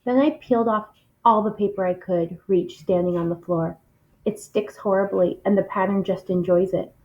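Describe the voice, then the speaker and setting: Woman doing an expressive read-aloud, medium pitch, kinda muffled lo-fi recording. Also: moderate pace. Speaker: reader of a read-along. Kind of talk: expressive storybook reading aloud.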